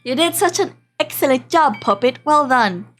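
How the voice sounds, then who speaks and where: Visual novel villain: regal, taunting puppet master, played by a woman, raised pitch, light music behind. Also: animated, moderate pace, fairly bright tone. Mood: cool, pleased. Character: regal, coolly menacing male puppeteer maestro, gloating.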